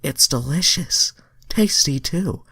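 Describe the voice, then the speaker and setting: A man voicing an eager young female alien heroine from fan fiction in an exaggerated, pleading falsetto. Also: animated, slow pace, creaky, bright, forceful tone, husky, dry recording, in a whisper, clean studio sound, full character voice. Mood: pleased, reassuring.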